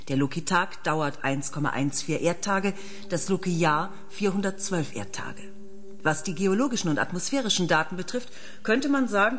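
An actress playing an earnest planetary scientist in a radio play, precise and measured, with a slightly husky voice. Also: female voice, on the low side. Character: earnest female planetary scientist quietly enchanted by her planet. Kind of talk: German science fiction radio play acting.

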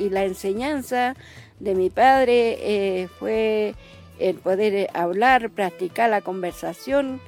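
A woman from Chile with a Chilean accent, giving testimony as a community member, pausing and searching for her words. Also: medium pitch, darkish tone. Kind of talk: spoken testimony.

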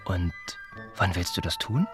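A kind young older cousin in a radio drama, gentle n reassuring, lowish voice that moves around a lot. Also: male voice, lively intonation, unhurried, over music. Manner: gentle, reassuring, patient questioning. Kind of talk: radio play acting.

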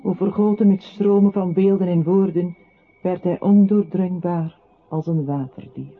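A woman performing as the narrator of a literary radio play, telling a man's story in a measured way. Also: lowish voice, fairly even intonation. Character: woman narrator recounting a troubled man's life. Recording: tinny band-limited audio with clear noise.

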